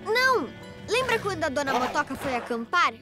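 Very high, earnest little cartoon motorbike. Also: female voice, big pitch swings, a little fast, over loud music. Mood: encouraging. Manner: earnest, encouraging. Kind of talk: children's cartoon acting.

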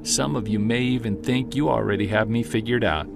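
A man playing a driven, defiant Bigfoot hunter narrating an audio-drama journal with earnest intensity and emphatic pauses. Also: mid-range voice, vocal fry, loud music behind the voice, lightly acted. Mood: defiant, determined.

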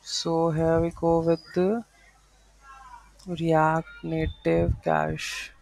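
Male tutorial presenter, slow and halting, flat delivery, unscripted, close dry sound. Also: a little high, creaky, dry recording, poor audio. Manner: halting, thinking aloud. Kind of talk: unscripted screen-share coding walkthrough. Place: voice-over to a screen recording.

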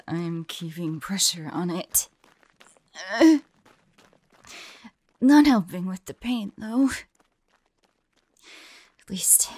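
A woman playing a devoted young heroine in an audio roleplay, halting and breathy, often dropping into whispers. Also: medium pitch, a little mellow, no room sound. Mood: pained, tense. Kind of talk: intimate acted audio roleplay.

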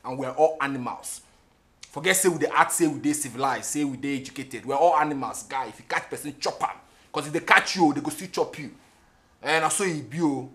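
A Nigerian man playing a brash, smooth-talking, gold-digging boyfriend in a film drama, emphatic and given to repeating himself. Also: fairly high pitch, fast talker, a bit bright, natural voice. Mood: boisterous, cynical. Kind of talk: film drama acting, mixing English and Nigerian Pidgin.

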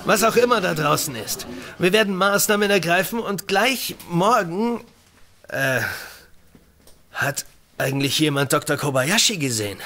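Authoritative male expedition chief acted by a man, skeptical, bright forceful voice, fairly high, radio play. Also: expressive, normal speed. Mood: commanding. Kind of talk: audio drama acting.

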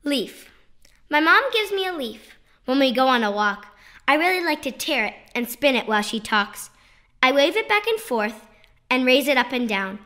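A woman reading children's poetry aloud in an expressive, playful, sing-song and emphatic way. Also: high voice, normal speed. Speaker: children's poetry author and reader.

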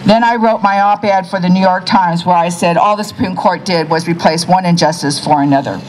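A woman's voice: lowish voice, a little fast, a little hiss or hum, poor audio. A woman giving an earnest public speech at an outdoor podium microphone, urging the crowd to act. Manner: earnest, urging, with emphatic calls to action. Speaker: speaker at a pilgrimage rally. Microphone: podium mic, far camera.

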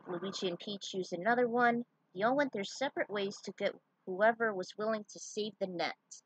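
A woman's voice: medium pitch, fairly bright tone, dry recording, rough recording. A woman reading a story aloud in an informal amateur way, stumbling now and then over words. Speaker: fan fiction reader. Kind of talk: amateur story narration read aloud, with asides.

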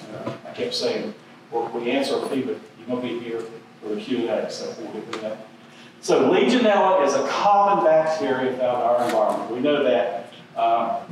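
A man's voice: mid-range voice, a little hiss or hum. Older man lecturing in an echoey hall. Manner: rambling, repeats words, chuckles at own stories. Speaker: seminar presenter. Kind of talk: conference lecture. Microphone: podium microphone. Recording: echoey hall, heard from a distance.

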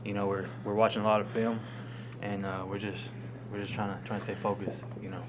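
A man in his twenties, a college athlete, answering postgame questions in a halting, understated way with repeated words. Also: lowish voice. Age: 20s. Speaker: college basketball player. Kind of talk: postgame press conference answers. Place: indoor press conference room. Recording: noisy room with steady hum.

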